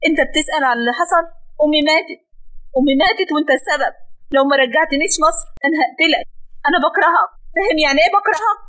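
A woman's voice: high voice, racing, fairly bright tone, voice pushed up, low-quality recording. A woman with an Egyptian accent voicing a heartbroken young wife who accuses her husband in anguish in an audio drama.

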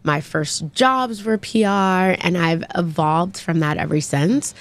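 A woman in her forties from Texas, a podcast guest chatting in a bubbly, animated way in a small studio on a desk mic. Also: fairly low pitch, slow pace. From Texas, USA. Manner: bubbly, animated, laughing often. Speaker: podcast guest, brand founder. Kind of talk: casual interview chat.

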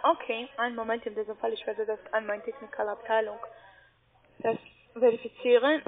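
High-voiced foreign-accented woman on the phone. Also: little pitch movement, fairly quick. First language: not German. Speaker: call centre agent. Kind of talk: customer phone call, instructing. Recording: tinny narrow-band phone line.

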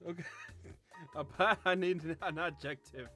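A man's voice: high-pitched, a little slow, over music. A man hosting a word game in casual banter, with a playful, teasing manner.

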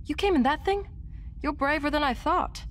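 A woman voicing a feisty young rebel princess in an animated game cutscene, wry and brisk. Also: sits high, lightly acted. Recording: clear effects noise behind the voice.

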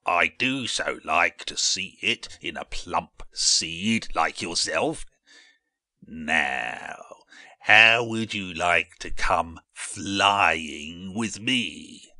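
A man voicing a sly, flattering male rook in a children's storybook, with oily, drawn-out courtesy. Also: deep voice, dry recording, studio quality, heavily acted. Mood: oily flattery. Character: sly, flattering old-fashioned male rook, large predatory bird.

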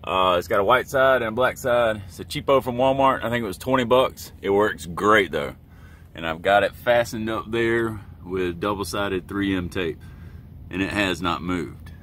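A man's voice: fairly low pitch, normal speed, a bit bright. A middle-aged American man, a truck driver, giving a casual, plain-spoken how-to while recording inside his truck cab. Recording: background noise in truck cab.

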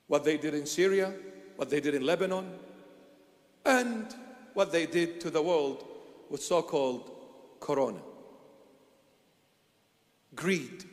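An older man with an Iraqi accent preaching a sermon at a church altar, asking rhetorical questions and answering them himself, moving from loud emphasis to hushed asides. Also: fairly high pitch, expressive, takes it slowly, pauses often. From Iraq. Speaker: bishop preaching. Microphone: handheld mic. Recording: long church reverb.

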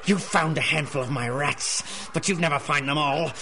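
A man playing a cackling, cowardly ratlike crime lord with a shrill, gravelly voice in a radio drama. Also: moderate pace, sharp tone, a little hiss or hum. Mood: gloating, defiant. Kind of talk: 1930s-style radio drama acting.

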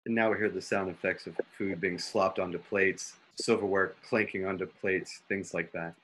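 A man's voice: low-pitched, steady tone, normal speed, fairly bright tone, low-quality recording. A man narrating stage directions for a radio drama in a descriptive storytelling style. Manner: descriptive, sounding out effects. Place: dim home room, video call. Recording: faint background noise on video call.

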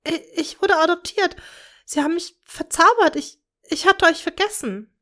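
A woman's voice: normal speed, sharp tone. A woman voicing a shy, tearful young witch who stammers in a raised voice. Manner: stammering, high and eager. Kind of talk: fan fiction reading.

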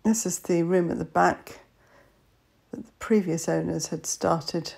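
A woman's voice: on the low side, fairly even intonation, unhurried, creaky, darkish tone, slightly rough. A woman estate agent narrating a walkthrough of a house, listing its features matter-of-factly in short phrases. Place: inside an old house.

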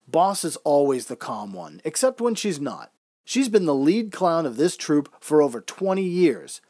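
Male storyteller, raised pitch, scripted radio-drama narration, dry close sound. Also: a little mellow, no room sound. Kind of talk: scripted first-person narration, old-time radio drama.

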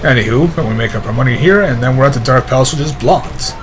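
A man gives casual video game commentary at an ordinary mid pitch. He sounds tired and a touch hoarse, with a wry, self-mocking manner. Game music runs clearly behind him. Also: fast talker. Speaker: let's play commentator.

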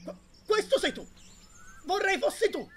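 A man's voice: very high-pitched, lively intonation, measured pace, a bit bright, slight background noise, heavily acted. A young man dubbing an exasperated, pedantic and whining friend in an amateur comedy sketch. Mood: whining despair. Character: exasperated young man losing his temper over a friend's mispronunciation.

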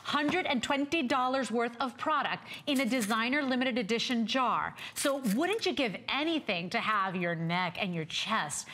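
A woman's voice: on the high side, expressive, pushed and bright, slight background noise. A woman hosting a TV shopping show, upbeat and enthusiastic as she pushes the deal in a studio. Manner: upbeat, enthusiastic, quick affirmations. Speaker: shopping channel host. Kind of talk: home shopping sales pitch.

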